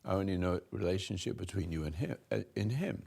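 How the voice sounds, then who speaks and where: An older man slowly giving a rambling spiritual talk to a room, halting and restarting, swinging between soft and loud. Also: low voice, lively intonation, creaky, slightly rough. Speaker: spiritual teacher hosting a talk.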